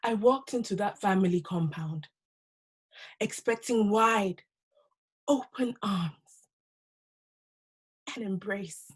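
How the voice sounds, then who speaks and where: A woman performing a dramatic stage monologue as a wounded, bitter wife, with deliberate, halting, impassioned delivery. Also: on the high side, husky, full character voice. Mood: pained, bitter. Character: wounded, bitter wife who survived violence and rejection.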